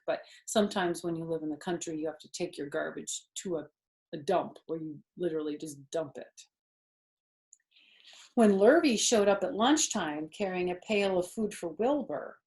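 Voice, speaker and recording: Lower-pitched woman reading to children. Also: vocal fry. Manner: expressive, addressing young children. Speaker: storyteller. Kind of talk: children's storybook read-aloud with chatty asides.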